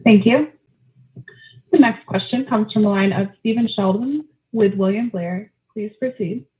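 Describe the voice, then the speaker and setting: A woman's voice: mid-range voice, measured pace. A woman working as a conference call operator, reading scripted announcements in a formal, procedural manner. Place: telephone conference. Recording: narrow phone line audio.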